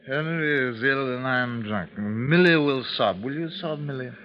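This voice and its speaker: An actor playing a drunken, amorous gentleman gambler in a 1940s radio drama, lingering theatrically over his words. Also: medium pitch, normal speed, close to an everyday voice. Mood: woozy. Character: tipsy, amorous, toast-making gentleman gambler. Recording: muffled 1940s radio recording.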